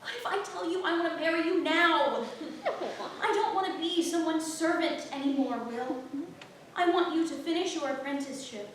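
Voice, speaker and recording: A woman's voice: sits high, moderate pace, a bit bright. A woman playing a weary, practical young maidservant in love in a staged play reading, earnest and exasperated. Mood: pleading. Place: theatre stage, distant microphone. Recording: very echoey theatre, far-off mic, faint noise.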